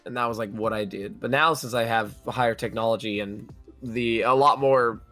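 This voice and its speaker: A young man guesting on a podcast with a hoarse voice, telling stories in an animated, profane way. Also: mid-range voice, moderate pace, soft background music. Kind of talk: casual chat.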